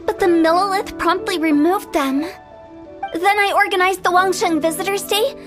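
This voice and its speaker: A very high, girlish game character, a playful young funeral director. She pitches with theatrical, chirpy eagerness. Music plays behind her. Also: steady tone, normal speed. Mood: confident, chatty. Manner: chirpy salesy patter, theatrical. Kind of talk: video game character acting. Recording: game audio with background music.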